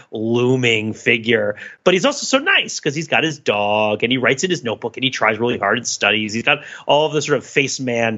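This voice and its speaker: A man co-hosting a casual, analytical film discussion, talking in an animated, enthusiastic way with many rambling asides. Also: medium pitch, dull audio. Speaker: podcast co-host.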